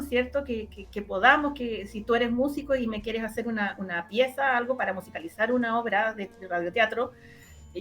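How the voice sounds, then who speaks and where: A woman with a Chilean Spanish accent explaining her own work as an interview guest, in an animated, conversational way. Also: on the high side. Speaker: interview guest, radio drama producer. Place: online video interview.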